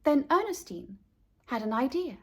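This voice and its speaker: A woman reading a children's story aloud in an expressive, deliberate way, speaking directly to young listeners. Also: sits high. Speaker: children's book reader.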